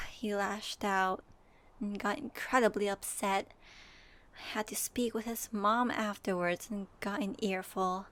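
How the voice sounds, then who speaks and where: Woman acting a young female adventurer, higher voice, slow measured delivery, soft, earnest, gently wry, audio roleplay. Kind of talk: binaural ASMR audio roleplay. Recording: faint noise and ambience.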